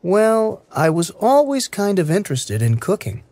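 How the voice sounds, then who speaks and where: Lively clear-voiced man reading practice lines. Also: high-pitched, a little slow, no room sound. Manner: clear, careful, evenly paced delivery. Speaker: English listening practice reader. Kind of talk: slow scripted reading for learners.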